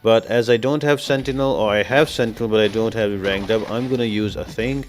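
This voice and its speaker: Low-voiced man explaining, noisy recording. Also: brisk. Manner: explanatory, step-by-step. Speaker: gaming video host. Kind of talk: casual game guide commentary.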